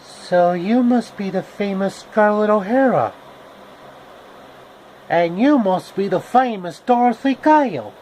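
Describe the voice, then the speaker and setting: A sweet, knowing storybook heroine, acted out by a man speaks in a raised pitch. The delivery is familiar and conversational. There is clear noise in the background. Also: animated, takes it slowly, a little mellow, rough recording. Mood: friendly, curious.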